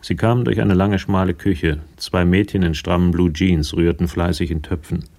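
A man narrating a crime radio play in a measured, matter-of-fact way, with a gravelly voice. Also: deep voice, fairly even intonation, darkish tone. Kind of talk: scripted radio drama narration.

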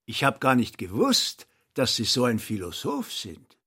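An affable, condescending count for a radio play. The voice is bright and raspy, swooping widely in pitch, recorded dry and close. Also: male voice, wildly swooping pitch, measured pace, dry recording, heavily acted. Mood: surprised, affable. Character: chatty, politely condescending Austrian aristocrat, a count. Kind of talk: radio drama acting.

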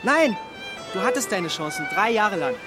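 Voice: mid-range voice, sing-song, moderate pace, over music, natural voice. An actor playing a sarcastic, needling teenage friend in audio drama dialogue. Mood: mocking.

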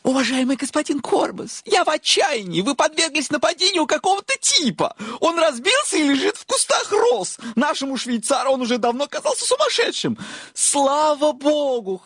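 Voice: high-pitched, big pitch swings, moderate pace, a bit bright, dry recording, clean studio sound. An actor in a radio play voicing a distressed, obsequious hotel director with an effusive, fawning manner. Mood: distressed, apologetic.

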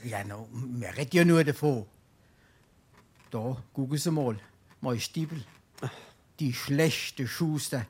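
An actor playing a shameless, wheedling, penniless tippler in Darmstadt dialect, theatrically swinging between mutters and exclamations in a stage comedy. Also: male voice, mid-range voice, slow, long pauses, husky. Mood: lamenting. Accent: Hessian, Darmstadt dialect.

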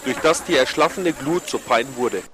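A man's voice: medium pitch, normal speed. A man reading a scripted literary report for a radio production. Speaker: reader of historical expedition reports. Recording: music bed behind the reading. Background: music.

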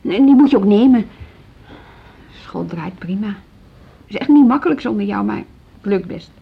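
Radio play: overworked woman deputy head, husky, evasive but loyal, hesitant and tactful. Slightly dull tape sound. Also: medium pitch, animated, normal speed, heavily acted. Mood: tactful, reassuring. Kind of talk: Dutch radio drama dialogue.

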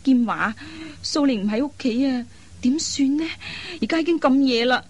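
High-voiced, earnest elder sister, played by a woman. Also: normal speed, natural voice. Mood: anxious, panicked. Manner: earnest, expressive, concerned. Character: anxious, caring elder sister and dutiful daughter. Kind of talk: radio drama acting. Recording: faint background noise.

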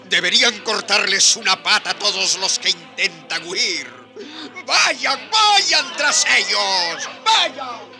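An actor playing a harsh, cruel colonial Spanish man in a radio drama, shouting orders in an agitated, imperious way. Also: sits high, very animated, slow, vocal fry, full character voice. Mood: hateful. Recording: radio drama with loud crowd and music.

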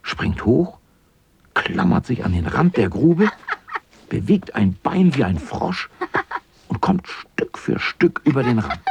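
A man with a husky voice narrating a scripted children's story as the narrator of a radio drama. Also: mid-range voice, very animated, takes it slowly, noisy background.

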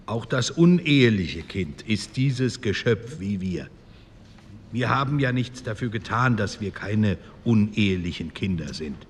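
An earnest, devout parliamentarian in a radio drama. His low voice is solemn and measured, full of compassion. The speech echoes in a hall over some noise. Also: expressive. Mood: solemn, compassionate. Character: earnest, compassionate, pious male parliamentarian, mature. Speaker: actor in a documentary radio play.

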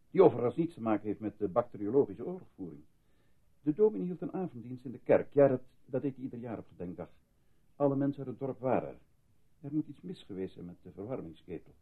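An actor playing a controlling, evasive male doctor in a radio drama, speaking formally in a husky, patronising voice. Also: medium pitch, lively intonation. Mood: calm, evasive. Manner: formal, patronising, measured reassurance. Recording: muffled lo-fi old radio recording.

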